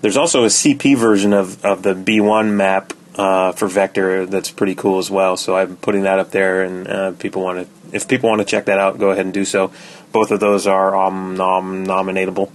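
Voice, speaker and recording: Low, flat-toned man giving a report. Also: a little fast, slight background noise. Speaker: server admin giving a weekly report. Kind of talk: casual podcast segment report.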